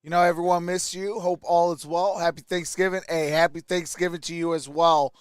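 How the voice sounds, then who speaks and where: High-pitched, clear-voiced man calling a game. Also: fairly even intonation, a little fast, dry recording, clean studio sound. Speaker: livestream host and play-by-play commentator. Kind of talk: live game commentary and casual chat with viewers.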